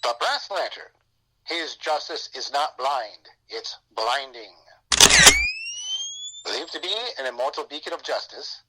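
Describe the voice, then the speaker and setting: A bombastic superhero serial announcer, acted by a man, with a forceful, raspy voice. He delivers every phrase with punchy drama through an old radio filter. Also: mid-range voice, poor audio.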